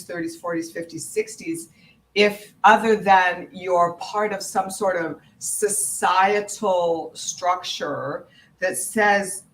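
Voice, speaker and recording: A woman's voice: fairly low pitch, rough recording. A woman hosting an interview, talking in a reflective way and thinking aloud. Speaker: host. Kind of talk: conversational interview.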